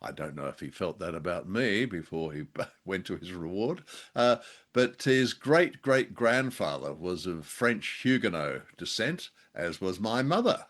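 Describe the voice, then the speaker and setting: An older man co-hosting a casual discussion of film and radio drama, with a droll, halting, courteous manner. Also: low voice, sing-song, a bit bright. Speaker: podcast co-host.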